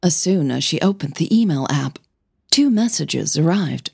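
A woman with a soft, breathy voice, a podcast host who narrates her own audio fiction, over music. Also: low voice, lively intonation, normal speed, no room sound, studio quality. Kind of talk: scripted story narration with casual host outro. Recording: music bed under the voice.

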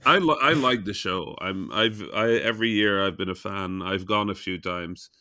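A man with a raspy just-woke-up voice, chatting frankly as a podcast co-host, often restarting his sentences. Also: low voice, moderate pace, pushed and bright, no room sound, clean studio sound. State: raspy morning voice. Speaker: podcast co-host, game developer. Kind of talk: casual chat.

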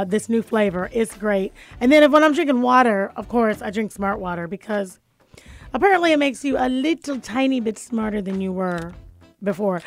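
A raspy-voiced woman in her thirties hosting a talk radio show with lively, laughing banter in a studio. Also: fairly high pitch.

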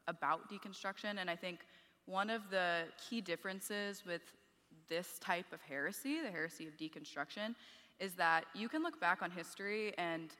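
A woman cohosting a live podcast, speaking in a measured way and affirming her guest often. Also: lowish voice, bright, forceful tone. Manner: measured, affirming, quick "yeah"s. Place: conference stage with hall. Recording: live hall reverb.

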